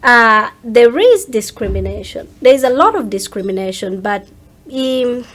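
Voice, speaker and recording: A woman's voice: a little high, animated, normal speed, a bit bright. A woman speaking as an interview guest in casual conversation, making her points earnestly and acting out what others say.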